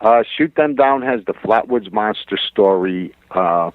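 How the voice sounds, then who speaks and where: Deep-voiced man, dull dry radio sound. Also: expressive, unhurried, dry recording. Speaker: guest author and researcher. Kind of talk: radio interview conversation.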